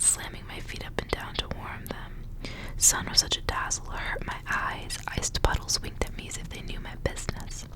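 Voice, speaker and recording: A woman reading a story aloud as ASMR, in a soft, breathy whisper. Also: low-quality recording. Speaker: ASMR reader and channel host.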